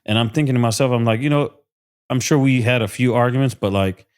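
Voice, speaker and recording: A man's voice: medium pitch, fast, smooth. A man from South Florida, a podcast guest, talking earnestly and emotionally with many fillers and restarts. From South Florida, USA. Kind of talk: casual interview talk.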